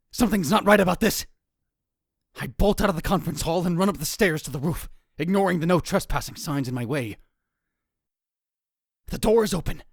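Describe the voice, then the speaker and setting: A man's voice: high voice, gravelly, dry recording, clean studio sound, heavily acted. A man playing an anxious, dramatic young conspiracy theorist who narrates a visual novel in a theatrical, self-questioning way. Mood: alarmed, tense. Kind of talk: first-person visual novel narration, acted. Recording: music bed under the voice. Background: background music.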